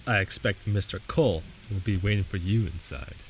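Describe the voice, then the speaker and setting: An actor in a radio drama playing a gentle, lonely male spirit of Death, speaking tenderly and earnestly. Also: low voice, moderate pace, slight background noise, natural voice. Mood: wistful.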